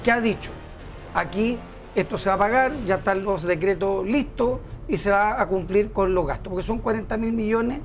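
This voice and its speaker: A man's voice: high-pitched, normal speed, soft background music. A middle-aged man with a Chilean accent, a senate candidate defending his side in a TV panel debate, insistent and explanatory.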